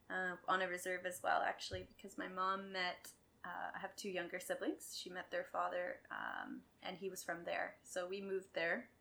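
A woman's voice: fairly low pitch, creaky. A young adult woman from Quebec, a podcast guest, talking candidly and casually about her past, laughing often and swearing now and then. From Quebec, Canada. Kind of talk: casual conversational storytelling.

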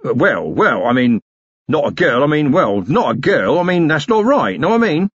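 A man voicing a cowardly, whining small-time male crook who stammers and wheedles in rough working-class speech. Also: a little high, very animated, moderate pace, a bit bright, no room sound, highs cut off, pitched above the performer's own voice, exaggerated voice.

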